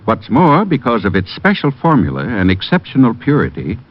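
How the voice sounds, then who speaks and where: An actor playing a reassuring, polished American announcer in a mid-century radio commercial. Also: male voice, medium pitch, huge pitch swings, normal speed. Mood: reassuring, emphatic. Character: reassuring, authoritative male drug product pitchman. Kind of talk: 1940s-50s radio commercial pitch. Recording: dull vintage radio recording.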